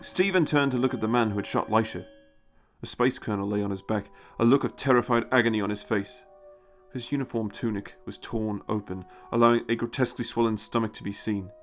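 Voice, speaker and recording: A man's voice: on the low side, fairly even intonation, fairly quick, soft background music. A man giving a dramatic, scripted narration of a story.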